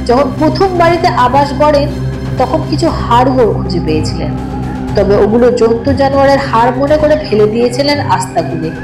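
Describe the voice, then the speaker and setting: A woman narrating a scripted horror audio story in a suspenseful, expressive way. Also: fairly high pitch. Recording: roomy echo, distant, loud music bed.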